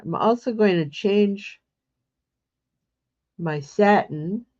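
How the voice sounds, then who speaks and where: Congested woman host, slow chatty livestream. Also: medium pitch, darkish tone, lo-fi sound. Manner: chatty, greets viewers by name. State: congested with a cold. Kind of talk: casual livestream chat.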